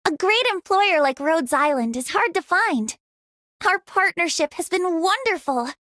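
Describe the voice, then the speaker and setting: A woman's voice: very high voice, lively intonation, unhurried, sharp tone, dry recording, clean studio sound, heavily acted. A woman voicing a playful, upbeat young girl comrade in a video game, chirpy and coaxing. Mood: cheerful. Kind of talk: video game character voice lines.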